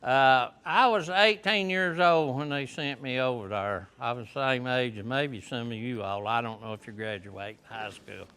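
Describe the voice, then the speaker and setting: A man, a veteran telling his story to a high school class in a plain, folksy, unhurried way. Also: bright, forceful tone, clear, resonant tone. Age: 70s. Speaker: veteran guest speaker. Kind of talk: classroom talk to students.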